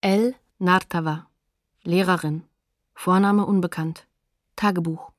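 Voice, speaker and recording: Radio docudrama: neutral woman announcer, slightly low voice, factual, close dry sound. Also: steady tone, takes it slowly, no echo. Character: neutral female announcer of sources and dates. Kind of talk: scripted source announcements.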